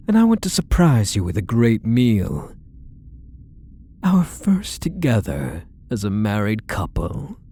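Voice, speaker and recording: Man acting a slow, coaxing possessive yandere lover. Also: fairly low pitch, full character voice. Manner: soft, coaxing, condescending pet-name endearments. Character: possessive, doting male yandere kidnapper, obsessively in love. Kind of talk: intimate ASMR roleplay audio drama.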